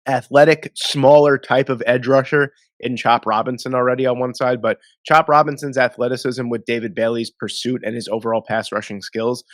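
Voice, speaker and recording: A man's voice: mid-range voice, fairly bright tone, no room sound. Congested man talking football. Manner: rambling, self-correcting, conversational. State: congested from a cold, nasal, sniffling. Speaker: host. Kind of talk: casual mock draft commentary.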